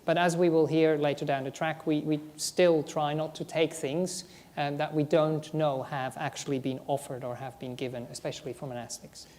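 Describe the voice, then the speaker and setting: A woman with a German accent, a Buddhist monastic co-teaching a workshop, explaining in a halting way with frequent "um" and restarts. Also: low-pitched, fairly even intonation, darkish tone. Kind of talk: workshop discussion. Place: Buddhist centre hall.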